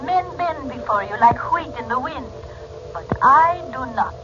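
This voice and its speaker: An actress playing a proud, defiant princess with haughty, formal phrasing in 1940s radio drama style. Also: female voice, high voice, expressive, unhurried. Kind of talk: 1940s radio serial acting. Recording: muffled, noisy old radio recording.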